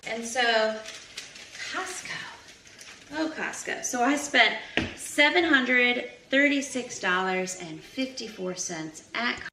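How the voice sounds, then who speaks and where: A woman's voice: fairly high pitch, expressive, slow, pushed and bright. A woman talking casually and chattily as in a shopping haul vlog, listing items aloud. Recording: faint background noise.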